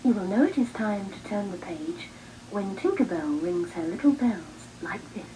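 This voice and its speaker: Mellow woman storyteller, often hushed. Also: mid-range voice, lively intonation, moderate pace, slight background noise, rough recording. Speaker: storybook narrator. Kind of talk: read-along children's poem narration.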